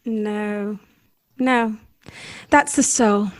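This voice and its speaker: A woman's voice: mid-range voice, fairly even intonation, extremely slow, dry recording. A woman playing an earnest, idealistic young society lady who questions things anxiously, in a 1930s-style radio drama. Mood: troubled.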